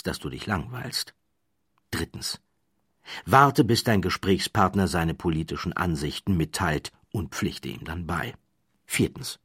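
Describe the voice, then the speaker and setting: Deep, husky male audiobook narrator. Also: dry recording, studio quality. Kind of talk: scripted storytelling narration. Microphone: close studio microphone, dry.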